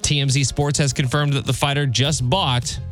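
A man's voice: medium pitch, fairly even intonation, normal speed, fairly bright tone, faint music behind. An American man co-hosting a radio show with casual banter and sports updates. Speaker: radio co-host and sports reporter. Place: radio studio.

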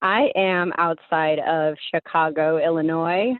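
A young American woman speaks over a narrow phone line. Her voice is a little low, and she tells her story casually, chatty and somewhat hesitant. The sound is thin and dry. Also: fairly even intonation, takes it slowly, no echo. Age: young adult. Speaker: phone-in guest. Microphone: phone call.

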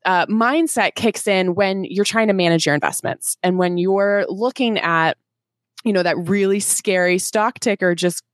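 A woman's voice: medium pitch, moderate pace, no echo, clean studio sound. A woman in her twenties, a finance coach and guest co-host, chatting eagerly with fillers like "um" and "uh". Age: 20s. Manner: enthusiastic, with many fillers. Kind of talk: casual podcast chat.

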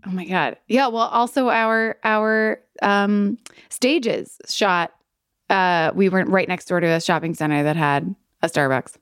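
A woman's voice: mid-range voice, unhurried, a bit bright, clean tone, no echo, studio quality. Enthusiastic woman co-hosting a podcast. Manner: enthusiastic, laughing. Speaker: podcast co-host, actress. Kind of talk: casual chat.

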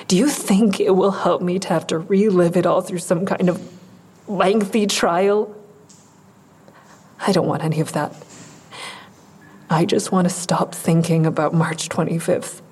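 A woman playing a weary, guarded, traumatized woman in an audio drama, curt and sardonic, with a husky voice. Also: fairly low pitch, a little slow, pauses often, darkish tone. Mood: weary, bitter. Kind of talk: full-cast audio drama acting.

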